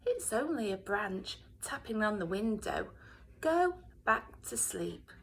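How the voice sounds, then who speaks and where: A woman's voice: mid-range voice, huge pitch swings, slow, a little hiss or hum. A woman voicing a weary, grumpy but patient bigger male mouse in a children's storybook, blunt and firm. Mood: reassuring.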